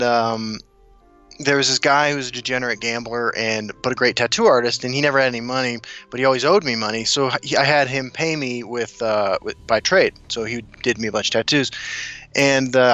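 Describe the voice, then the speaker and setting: A man's voice: mid-range voice, a little fast, dull audio, faint music behind. A man from South Dakota who grew up in Kansas City, chatting casually as a podcast guest and telling rambling stories with easy laughs. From South Dakota, raised in Kansas City. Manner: relaxed, rambling storyteller, laughs often. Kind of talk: casual chat.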